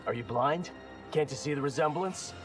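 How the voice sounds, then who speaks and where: An actor playing an earnest, determined young warrior giving dry, defiant retorts in video game fight banter. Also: male voice, fairly high pitch, normal speed, over music, close to an everyday voice.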